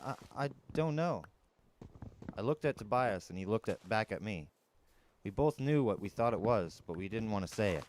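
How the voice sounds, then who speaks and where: Low-voiced man narrating a story. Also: expressive, a little fast, darkish tone, slight background noise. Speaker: audiobook narrator. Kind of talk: first-person story narration, dramatic reading.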